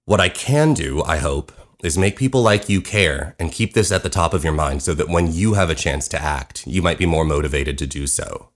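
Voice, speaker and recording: Deep-voiced man hosting his own podcast. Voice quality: soothing radio voice. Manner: fast-talking, conversational, candid. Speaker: podcast host. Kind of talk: personal podcast narration.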